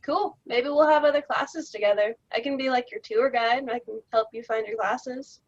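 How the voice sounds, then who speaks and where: Woman playing Angela, kind high schooler. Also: moderate pace, muffled recording, lightly acted. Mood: friendly. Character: kind teenage girl, friendly classmate.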